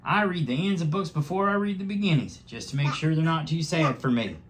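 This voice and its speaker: A man voicing a timid, sensitive male hedgehog in a high, gentle voice. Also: a little fast, close to an everyday voice. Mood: shy.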